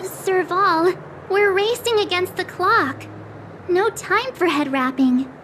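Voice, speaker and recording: Very high-pitched earnest game girl. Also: slow pace, fairly bright tone, slight background noise, heavily acted. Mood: urgent. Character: earnest, energetic teenage girl adventurer gathering opinions. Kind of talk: game cutscene voice acting.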